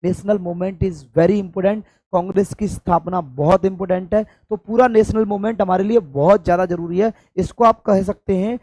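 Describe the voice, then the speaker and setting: A hoarse man from India, teaching an exam-prep lesson and stressing points by repeating them. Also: high voice, moderate pace, few pauses, a little mellow, no echo. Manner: addresses students affectionately, emphatic repetition. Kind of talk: classroom-style exam coaching lecture.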